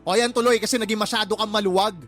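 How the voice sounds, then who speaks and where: A Filipino actress playing an angry, reproachful younger sister in a radio drama, scolding and sarcastic. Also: female voice, medium pitch, fairly even intonation, racing, soft background music, natural voice. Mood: exasperated, accusing. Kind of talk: Tagalog radio drama acting.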